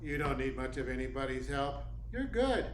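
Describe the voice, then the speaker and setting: A man's voice: medium pitch, sing-song, moderate pace, close to an everyday voice. A man playing a cynical private detective in a noir radio play, terse, wry and slangy. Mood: probing. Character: hard-boiled, cynical male private detective. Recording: roomy echo, clear background noise.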